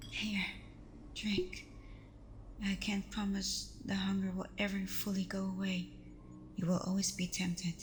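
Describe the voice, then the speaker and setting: A woman acts as a caring vampire girlfriend in an ASMR roleplay. Her voice is soft and breathy, often nearly whispered, and stays very level in pitch. She is patient and reassuring, with some noise and ambience behind her. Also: level tone, natural voice. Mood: proud, gentle. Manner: soothing, reassuring, unhurried.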